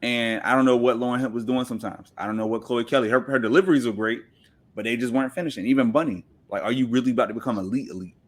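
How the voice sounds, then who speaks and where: A man's voice: medium pitch, very fast. A man talking casually and with strong opinions as a podcast guest, making emphatic points with rhetorical questions and repeats. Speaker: podcast guest, football pundit. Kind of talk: opinionated casual sports talk.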